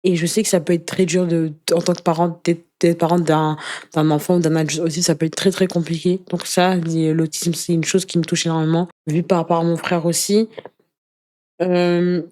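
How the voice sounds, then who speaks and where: An activist woman speaks as a guest in a relaxed interview. Her voice sits fairly low and has a mellow, warm tone. She talks earnestly about personal commitments, often pausing and repeating small words while searching for phrasing. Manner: halting, searching for words with repetitions. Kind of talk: personal testimony in casual conversation.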